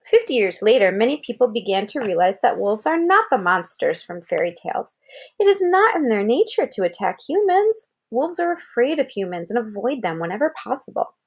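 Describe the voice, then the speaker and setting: A woman's voice: fairly high pitch, sing-song, brisk, breathy edge. An American woman reading a children's article aloud like a parent, expressively, with explanatory asides and questions to check understanding.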